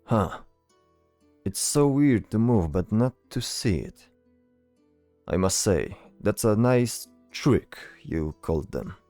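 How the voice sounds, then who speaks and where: Man as dutiful soldier, fantasy audio drama. Low, mellow, terse and serious. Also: sing-song, slow, no room sound, faint music behind, full character voice. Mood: amazed. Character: earnest, dutiful male soldier in fantasy adventure.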